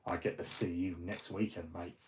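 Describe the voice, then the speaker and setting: A man's voice: low-pitched, fairly even intonation, moderate pace, slight background noise. A middle-aged man, hosting a hobby livestream in a rambling, friendly way, full of ums and restarts, a little fluey. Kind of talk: casual livestream chat.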